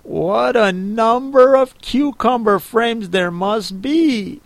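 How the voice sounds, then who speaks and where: A man's voice: very high voice, slow pace, gravelly, no echo, pitched above the performer's own voice, exaggerated voice. A man voicing a curious, fussy young girl in a storybook reading, speaking primly. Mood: wondering. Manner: prim, scolding herself.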